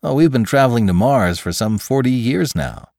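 A man's voice: medium pitch, animated, normal speed, vocal fry, darkish tone, no echo, clean studio sound, pitched above the performer's own voice. A man voicing an eager, naive male scientist in a story, effusive and full of exclamation. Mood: chatty, informative.

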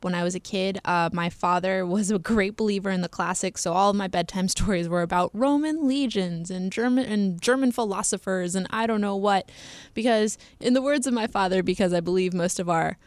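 A woman's voice: mid-range voice, brisk, dry recording. A young adult woman hosting a podcast, talking in a chatty, playful way and laughing often. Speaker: podcast host. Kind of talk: casual podcast chat.

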